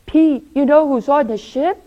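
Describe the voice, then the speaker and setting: A woman voicing a friendly, excitable, slightly shy male dragon in a children's story. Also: high-pitched, unhurried, dull audio, pitched above the performer's own voice. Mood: excited, amazed.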